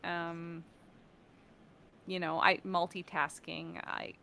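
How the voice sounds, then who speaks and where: Bright, animated woman chatting. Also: fairly low pitch, takes it slowly, gravelly, a little hiss or hum. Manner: animated, self-mocking, acts out quoted remarks. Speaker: guest, voice artist and sound designer. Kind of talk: casual interview chat on a live stream.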